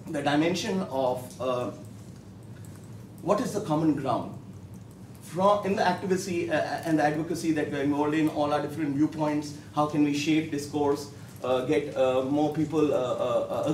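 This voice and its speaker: A man from India with an Indian accent, a professor answering on a panel in a measured way with many pauses and restarts. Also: fairly high pitch, darkish tone, slight background noise. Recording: echoey hall, mic from far off.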